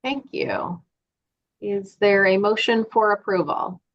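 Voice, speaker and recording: A woman chairs a board meeting, moving briskly through motions and votes in set procedural phrases. Her voice sits mid-range, and the recording sounds dull and lo-fi. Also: mid-range voice.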